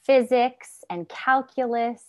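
A woman guest on a podcast who explains her home education program in a measured, earnest way. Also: fairly high pitch, darkish tone. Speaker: guest, homeschool program leader. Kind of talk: casual interview chat.

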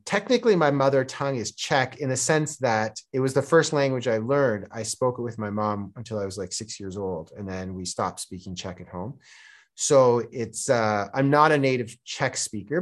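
Male guest, mid-range voice, relaxed and chatty. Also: mid-range voice. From Canada. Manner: relaxed, conversational, with fillers. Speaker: podcast guest. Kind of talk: casual interview conversation.

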